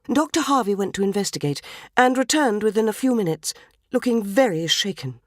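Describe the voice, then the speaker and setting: A woman's voice: medium pitch, expressive, a little fast, no echo, clean studio sound, lightly acted. A woman reading a dramatic story as a prim, tearful, naive English housewife from the 1940s, genteel, wistful and earnest, with an English accent. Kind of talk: dramatic first-person short story reading.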